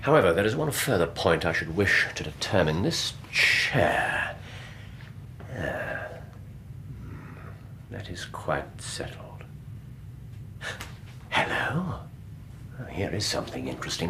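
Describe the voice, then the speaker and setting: An actor playing an incisive, theatrical Victorian detective with an English accent and precise, formal, dryly authoritative speech in a radio drama. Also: male voice, low voice, very animated, unhurried, creaky, long pauses, noisy background, exaggerated voice. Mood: dry, musing.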